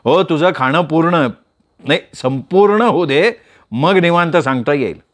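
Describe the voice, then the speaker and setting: Male reader as an earnest, hesitant young lover in a storybook reading, slightly high voice. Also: expressive, a little slow. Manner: hesitant, earnest, low-key. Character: earnest shy young man in love.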